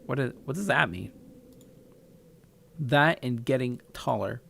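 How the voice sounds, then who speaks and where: A man's voice: medium pitch, expressive, measured pace, creaky, a bit bright, husky, no echo. A man reading a story aloud in a casual way, stumbling over words and correcting himself. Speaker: video maker reading aloud a story game. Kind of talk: casual read-aloud narration with asides.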